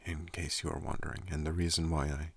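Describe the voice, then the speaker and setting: A young man hosting an ASMR channel, speaking softly and calmly close to a studio microphone, slipping into whispers. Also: no room sound. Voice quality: deep gravelly soft voice. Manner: slow, calm, partly whispered. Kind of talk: soft-spoken ASMR talk.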